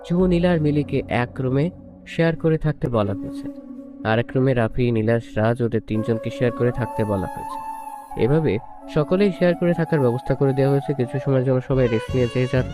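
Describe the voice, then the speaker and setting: A male storyteller narrates a scripted romance in an average-pitched voice. Clearly audible instrumental music plays behind him throughout. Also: brisk. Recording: music bed under the voice. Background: prominent instrumental music.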